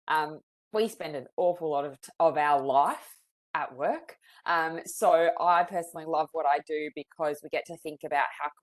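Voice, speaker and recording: A woman with an Australian accent, a psychologist in her thirties, leading a webinar in a friendly, chatty way with many fillers. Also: lowish voice. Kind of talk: webinar presentation. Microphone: video call.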